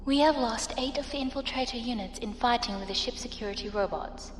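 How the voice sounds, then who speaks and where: A woman's voice: on the high side, fairly quick, a little hiss or hum, lightly acted. Echoing, formal female ship intelligence played by a woman. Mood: formal, reporting. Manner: precise, formal, deferential. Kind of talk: science fiction audio drama acting. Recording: echoing hall reverb, music behind.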